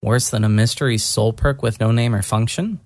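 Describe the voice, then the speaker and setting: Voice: medium pitch, normal speed, darkish tone, no echo, lightly acted. A narrator voicing a bewildered male hero with no memory, wry and understated, in a fantasy audio story. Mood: wry, puzzled.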